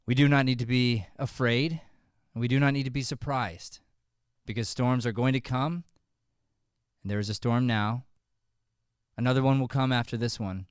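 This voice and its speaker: Clear-voiced, earnest man. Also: medium pitch, steady tone, no room sound, clean studio sound. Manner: earnest, gently halting. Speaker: improv show host. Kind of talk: earnest closing address and prayer. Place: studio, close podcast microphone. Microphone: podcast microphone.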